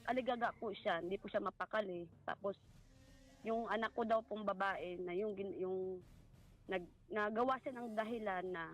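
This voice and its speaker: A woman with a Filipino accent giving a personal account in a halting, polite way, as a guest in conversation. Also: mid-range voice, low-quality recording. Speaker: guest recounting a family story. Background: music.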